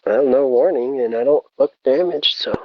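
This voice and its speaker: A man's voice: mid-range voice, normal speed. A man chatting casually with other flight sim players over voice chat. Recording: dull lo-fi voice chat, background noise.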